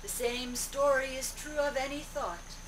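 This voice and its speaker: A woman delivering a spiritual discourse in deliberate, declarative short phrases, as a speaker relaying a teaching aloud. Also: high-pitched. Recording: distant mic, noisy amateur video.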